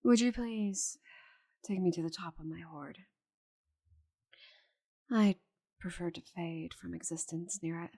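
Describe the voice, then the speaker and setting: Woman acting a haughty, breathy, low-voiced female dragon. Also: unhurried, long pauses, mellow. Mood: resigned, softened. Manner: haughty, dramatic, sighing. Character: proud stubborn female dragon cursed to shrink, imperious and bitter. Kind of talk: scripted audio roleplay monologue.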